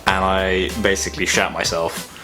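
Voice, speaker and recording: A young man talking casually and reflectively in a vlog, thoughtful and halting with long pauses. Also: sits low, little pitch movement, music in the background. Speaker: vlogger.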